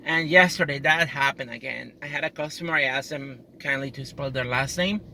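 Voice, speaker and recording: A woman's voice: extremely low pitch, brisk, vocal fry. A woman from Puerto Rico with a Puerto Rican accent, talking earnestly and with frustration in a personal monologue, often restarting her sentences. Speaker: customer service worker. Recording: loud traffic noise outdoors.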